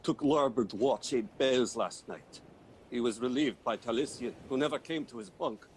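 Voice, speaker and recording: A man playing a haunted, superstitious ship's mate sliding into madness in a radio play, with halting, dread-filled delivery. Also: medium pitch, lightly acted. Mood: uneasy, insistent. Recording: faint background noise.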